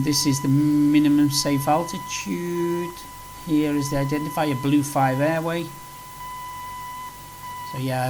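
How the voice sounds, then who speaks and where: Male sim presenter, fairly high-pitched, casual and unscripted, rambling walkthrough delivery over noticeable humming noise. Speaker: flight simulator video presenter. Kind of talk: casual tutorial commentary while playing. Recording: clearly noisy with background hum.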